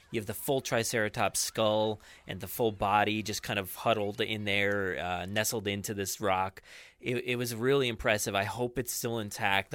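A man with a fairly low voice talks casually on a podcast, close and dry with little room sound. He is enthusiastic but halting, often searching for the right word. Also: a bit bright, no room sound. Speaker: podcast co-host.

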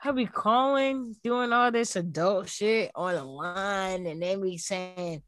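A woman's voice: mid-range voice, takes it slowly. A woman co-hosting a podcast, chatting casually and expressively, acting out what others said. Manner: expressive, quotes others in voiced asides. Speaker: podcast co-host. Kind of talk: casual chat.

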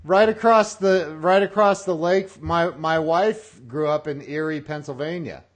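Excitable man hosting an interview. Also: on the high side, measured pace. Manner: excitable, stumbling restarts, laughs easily. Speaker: podcast host. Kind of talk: casual interview chat.

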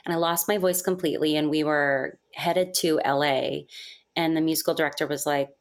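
A woman's voice: low voice, fairly even intonation, brisk. A woman telling her career story in a casual interview, chatty, filling her talk with "like" and "you know" and laughing often. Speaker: musical theater performer, guest.

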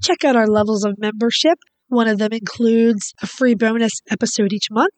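Woman, hoarse voice, a little high, matter-of-fact, dry humor. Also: moderate pace. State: hoarse, recovering from illness. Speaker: podcast co-host. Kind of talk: casual chat. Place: small home studio, at a table.

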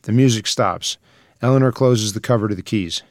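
A man's voice: fairly low pitch, moderate pace, no echo, studio quality. A man reading out screenplay scene headings and stage directions as a scripted narrator.

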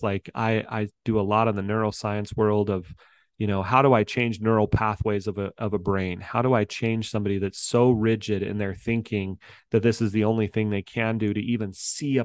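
A man's voice: on the low side, smooth, no room sound. Upbeat emphatic male life coach. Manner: emphatic, upbeat, acts out quoted voices. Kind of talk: interview guest, motivational talk.

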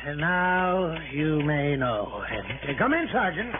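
A fussy, high-pitched flustered official. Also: male voice, sing-song, slow, music in the background. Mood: flustered, stalling. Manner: flustered, stammering, repeats himself. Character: fussy, tight-fisted male insurance company official, shifty and smug. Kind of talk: 1960s radio drama acting. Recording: tinny, noisy old radio broadcast.

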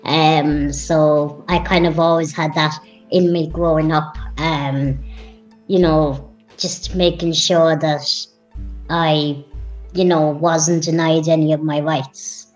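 Young woman from Ireland, interview guest, low and slow, ums a lot, faint music behind. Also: flat delivery, lo-fi sound. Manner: unhurried, warm, with frequent ums. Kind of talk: casual interview conversation.

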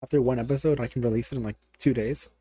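A man's voice: medium pitch, fairly even intonation, very fast, dark tone, breathy edge, no room sound. A young man hosting a casual podcast with friends, joking and teasing as he talks. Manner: teasing, laughing, talks over others. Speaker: podcast host. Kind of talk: casual chat among friends.

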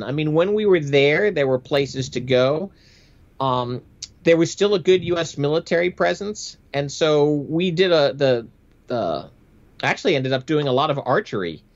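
Middle-aged American male, veteran aviator as interview guest. Higher-set voice, conversational and matter-of-fact, slightly boxy lo-fi sound. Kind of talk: conversational storytelling.

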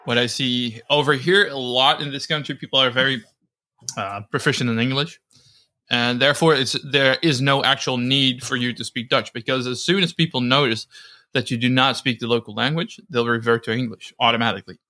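Podcast host, man, bright and forceful tone, enthusiastic, stumbles into sentences. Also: mid-range voice, steady tone, fairly quick. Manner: enthusiastic, repeats words when starting. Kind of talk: casual chat.

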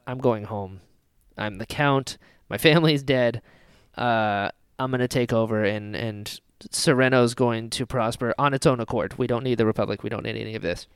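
Animated man, smooth voice, chatting. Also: medium pitch, no echo, studio quality. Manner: enthusiastic, animated. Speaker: podcast host. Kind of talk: casual fan chat.

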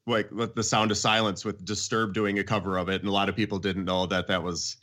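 Middle-aged man, lowish voice, enthusiastic chat. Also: flat delivery, a little fast, sharp tone. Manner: enthusiastic, emphatic. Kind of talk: casual music chat.

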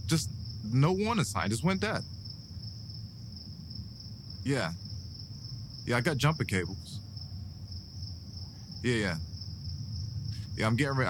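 Actor as brash, swearing man, very animated pitch. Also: background noise, natural voice. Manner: slangy, profane, emphatic, repeats himself. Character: brash, foul-mouthed man stranded at night. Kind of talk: audio drama acting. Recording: loud music bed behind the voice.

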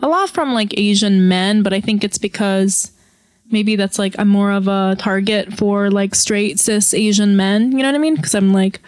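A woman's voice: mid-range voice, normal speed, a little mellow, no echo. A woman hosting a podcast, asking curious questions and reflecting hesitantly with many fillers. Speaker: podcast host. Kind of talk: casual podcast conversation.

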